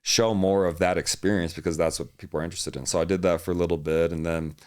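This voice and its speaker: A man's voice: deep voice, steady tone, quick pace, darkish tone, clean tone. A young man from Hawaii, a fitness coach, chatting easily as a podcast guest and laughing often. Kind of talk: casual interview chat.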